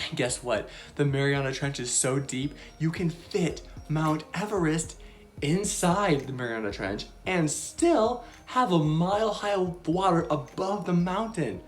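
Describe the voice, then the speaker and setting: A woman's voice: deep voice, expressive, a little slow. A woman hosting a casual Bible lesson for young children, chatty and bubbly, with many fillers. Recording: soft background music.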